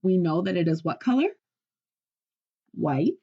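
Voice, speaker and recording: Lady teacher doing a kids read-aloud, real slow and animated, asks lots of questions. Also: lowish voice, slightly rough, muffled recording. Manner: expressive, instructional, prompting with questions. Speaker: teacher reading aloud to children.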